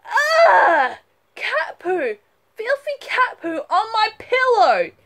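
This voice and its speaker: A woman's voice: huge pitch swings, normal speed. A woman voicing a shrieking schoolgirl captain. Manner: shrill screaming. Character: bossy, polished schoolgirl captain shrieking in disgust.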